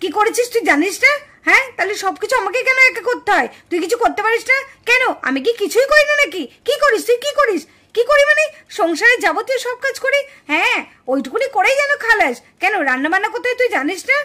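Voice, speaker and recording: A woman's voice: very high voice, very animated, fast, sharp tone, full character voice. A woman voicing a quarrelsome, indignant village daughter-in-law in a puppet cartoon family drama. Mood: angry, defiant. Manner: scolding, indignant, exclamatory.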